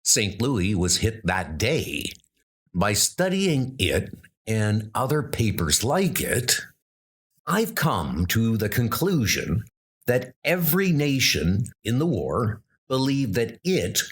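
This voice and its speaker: A man voicing a thoughtful male schoolteacher turned historian who speaks in a measured, inquisitive way. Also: lowish voice, very animated, a bit bright, breathy edge, exaggerated voice.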